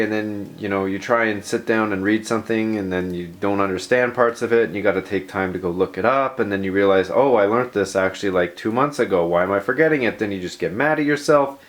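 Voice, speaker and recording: A man's voice: fairly low pitch, a bit bright. A man narrating a personal vlog in an informal, unscripted, self-deprecating way, rambling as he goes. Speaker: video host.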